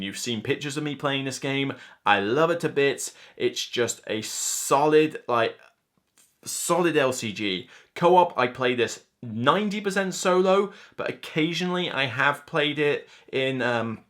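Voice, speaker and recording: A man's voice: mid-range voice, a bit bright. A man in his thirties giving an enthusiastic, chatty, casual countdown review to camera as a board game reviewer. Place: indoor room lined with board game shelves.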